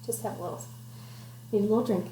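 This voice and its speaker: A woman's voice: medium pitch, quick pace, dark tone. A woman doing an interactive picture-book read-aloud for young children, playful and encouraging, putting simple questions to them. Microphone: computer microphone. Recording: roomy echo, noticeable background noise.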